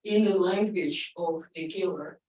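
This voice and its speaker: Woman, native Russian speaker, audience comment, hesitant, lower voice, roomy hall from a distance. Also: lo-fi sound, a little hiss or hum. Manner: slow and hesitant, many fillers. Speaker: audience member, poet and psychoanalyst. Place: lecture room.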